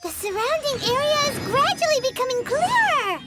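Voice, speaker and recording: A woman voicing a small, chirpy, excitable childlike companion in an animated video game, with a squeaky voice and music underneath. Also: moderate pace. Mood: observant, cheerful.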